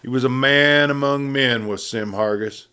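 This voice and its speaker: A man narrating a Western tale as a folksy, unhurried campfire storyteller and host. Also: medium pitch, pushed and bright, clear, resonant tone. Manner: folksy, unhurried asides to listeners.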